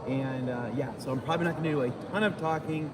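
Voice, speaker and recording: A hoarse man hosting a casual walking tour of a trade show, rambling and poking fun at himself, recorded on a phone. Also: on the high side, fast talker, very noisy. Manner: rambling, relaxed, light self-mocking. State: hoarse, losing his voice. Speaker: livestream host. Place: busy trade show hall. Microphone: phone carried while walking. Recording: phone audio in loud crowded hall.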